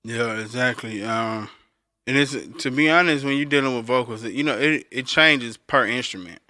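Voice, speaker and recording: A man with a deep voice co-hosting a podcast as a recording engineer, explaining things casually and speaking directly to the listeners. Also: moderate pace, bright, forceful tone, no room sound. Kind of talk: casual instructional talk.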